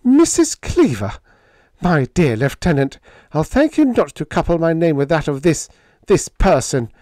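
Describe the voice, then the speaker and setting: A man's voice: high voice, huge pitch swings, unhurried, a little mellow, no room sound, studio quality, voice pushed up, exaggerated voice. A man voicing a spirited, prim young woman who is easily indignant, in a pulp science fiction story. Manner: prim, emphatic.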